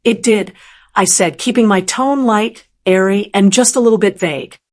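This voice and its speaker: A woman in her sixties narrating a first-person story in a calm, measured, wry manner. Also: mid-range voice. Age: 60s.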